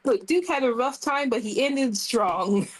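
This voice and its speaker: Unhurried woman at a tabletop game. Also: fairly high pitch, rough recording. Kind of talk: casual improvised roleplay chat.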